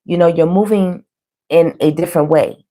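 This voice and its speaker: A woman with a low, hoarse voice hosts a live tarot reading. She talks casually and colloquially to her viewers, often checking in as she interprets the cards. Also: moderate pace, warm tone. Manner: colloquial, frequently checking in with "you know" and "okay". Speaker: tarot reader hosting a live online reading.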